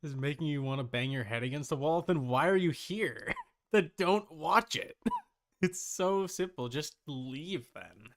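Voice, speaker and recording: A man's voice: fairly high pitch, expressive, unhurried. A man chatting casually on a live stream, reacting to a video bluntly with plenty of swearing and talking back to viewers.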